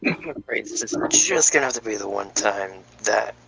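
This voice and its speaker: A gruff, protective cartoon grandfather, voiced by a man, low and gravelly with a forceful, husky edge. It is an amateur table read. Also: brisk, no room sound, soft background music, heavily acted, rough recording. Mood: stern.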